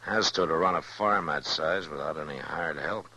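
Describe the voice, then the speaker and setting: An actor playing a steady, world-weary, laconic frontier lawman in an old radio western. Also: extremely low pitch, normal speed, exaggerated voice. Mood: easygoing, dry. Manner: laconic, understated. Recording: noisy vintage radio recording.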